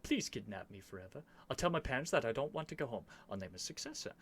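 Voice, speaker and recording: Guy doing a flustered stubborn prince, asmr roleplay, kinda haughty and theatrical, really animated pitch, some background noise. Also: quick pace. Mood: desperate pleading. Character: pleading, stubborn young human prince, flustered and lovestruck.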